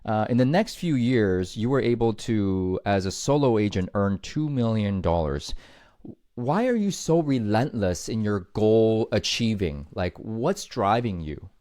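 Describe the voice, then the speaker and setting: Low-voiced host who keeps saying "guys". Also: male voice, lively intonation, a little slow, mellow. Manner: eager, addresses the audience as "guys", repeats guest's points. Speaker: podcast host. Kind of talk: podcast interview.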